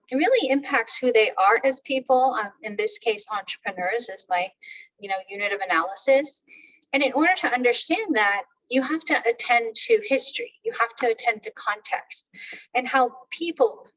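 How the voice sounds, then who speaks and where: A middle-aged woman explains her research in a careful, unhurried academic manner. Her voice sits fairly high and sounds a little distant from the microphone. She pauses often on soft fillers as she builds her points. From Turkey. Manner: measured, thoughtful, with frequent "um" fillers. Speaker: professor. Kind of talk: academic webinar talk.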